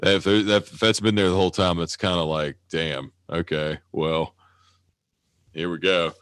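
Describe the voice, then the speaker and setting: Thirty-something guy, real low voice, dry close sound, swears a lot and rambles. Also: flat delivery, moderate pace, a bit bright, clear, resonant tone, dry recording. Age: 30s. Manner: profane, rambling, self-mocking. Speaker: podcast co-host. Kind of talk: casual chat.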